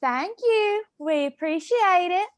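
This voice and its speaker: A performer voicing small talking animals in a comic fairytale audio drama, shrill, exclamatory and profane. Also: expressive, slow pace, heavily acted. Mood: pleading, grateful. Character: foul-mouthed talking animals: flea, choking duck, fish, ants, ravens.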